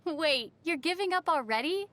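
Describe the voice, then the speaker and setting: Young female traveler, high voice, soft, wry, gentle teasing, video game acting. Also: animated, normal speed.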